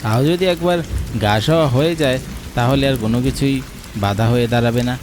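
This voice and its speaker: A man's voice: mid-range voice, expressive, brisk, background noise, natural voice. A man voicing a playful, coaxing young husband in a cartoon, in a teasing, cajoling manner. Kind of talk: cartoon voice acting. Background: music and rain.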